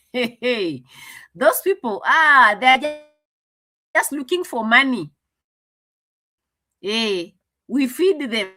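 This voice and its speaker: Chatty woman commentator, bright and lively delivery. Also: fairly high pitch, extremely slow, pauses often, faint music behind. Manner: chatty, translating and mocking, laughs often. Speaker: commentator reacting to a clip. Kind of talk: casual commentary.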